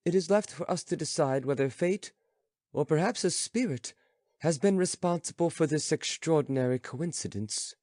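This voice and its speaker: A man's voice: fairly high pitch, normal speed, darkish tone, dry recording, studio quality, pitched above the performer's own voice, natural voice. A man voicing a philosopher's male companion, formal and stiffly courteous, in an audiobook story. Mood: stiffly polite.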